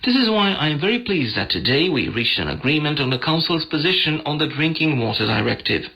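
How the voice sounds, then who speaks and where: Male news magazine host, crisp bright edge, scripted delivery, shortwave radio broadcast. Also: on the high side, big pitch swings, fairly quick, poor audio.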